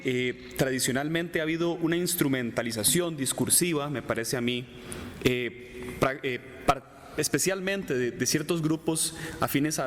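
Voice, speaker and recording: A man hosting an interview, asking formal, measured questions. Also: a little high. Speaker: interviewer host. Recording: reverberant room, faint background noise.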